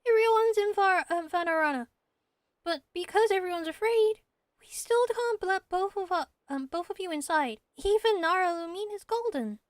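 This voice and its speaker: A woman voicing a small, timid, childlike forest spirit with simple, halting, earnest phrasing in a fan-dubbed fantasy game. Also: extremely high pitch, no echo. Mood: hesitant, sincere. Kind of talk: game character voice acting, fan dub.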